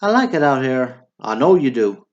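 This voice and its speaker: A man's voice: mid-range voice, sing-song, moderate pace, highs cut off, natural voice. A man acting in a stage play as one of two blunt, bantering Texan brothers, one a drunk war veteran. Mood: wistful, reflective.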